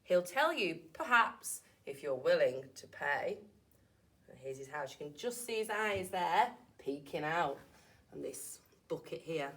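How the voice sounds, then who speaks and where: A woman's voice: low-pitched, lively intonation, unhurried, a bit bright. A woman, a primary school teacher, reading a picture book aloud expressively to young children with asides.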